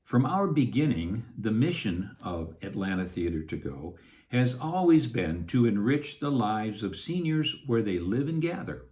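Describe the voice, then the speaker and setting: Man with lively intonation. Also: fairly low pitch. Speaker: actor introducing a program. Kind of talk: scripted presenter's introduction.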